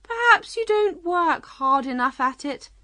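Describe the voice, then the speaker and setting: A woman voicing an eccentric, scatterbrained old storybook queen with a shrill, emphatic manner. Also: lively intonation, unhurried, pushed and bright, no echo, heavily acted. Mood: cheerful, insistent. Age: older. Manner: shrill, emphatic, matter-of-fact about nonsense.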